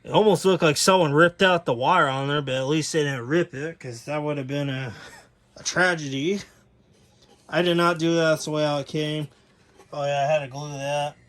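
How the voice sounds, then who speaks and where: A man's voice: fairly high pitch, fairly even intonation, normal speed, a bit bright. A man giving a casual, unscripted overview as a hobbyist video host, rambling and correcting himself as he goes.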